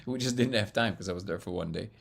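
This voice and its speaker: A man with a low, booming voice chats loosely on a live stream, answering viewers' questions one after another. His tone has a gravelly rasp, and he laughs easily between thoughts. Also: fast. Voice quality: loud booming voice. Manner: excitable, laughs often, reads chat aloud. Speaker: livestream host answering chat. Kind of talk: casual live-stream chat.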